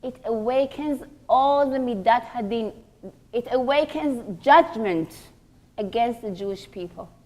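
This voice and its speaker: High-pitched woman giving an earnest religious lecture. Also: unhurried, a little mellow. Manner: earnest, didactic, asks rhetorical questions. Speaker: religious teacher, rabbanit. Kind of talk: religious lecture to a group of women.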